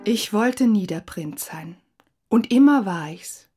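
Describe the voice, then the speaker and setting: A woman's voice: mid-range voice, animated, takes it slowly, warm tone, faint music behind. A woman reading a reflective, scripted first-person narration.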